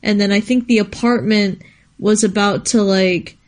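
A woman's voice: medium pitch, fairly even intonation, unhurried. A woman co-hosting a casual, unscripted chat, talking in an informal, slangy way and often thinking aloud as she answers. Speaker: co-host answering viewer questions.

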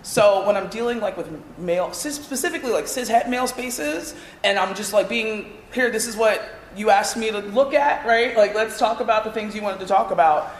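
A woman on a podcast panel, speaking in a measured, emphatic way. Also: mid-range voice, slight background noise. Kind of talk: casual panel discussion. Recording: echoey live room, long reverb.

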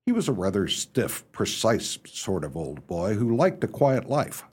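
A man playing a genial, dithering young upper-class gentleman in a radio play, who narrates and stammers when pressed. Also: on the low side, huge pitch swings, unhurried, husky, exaggerated voice. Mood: wry, reflective. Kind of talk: radio play acting with first-person narration.

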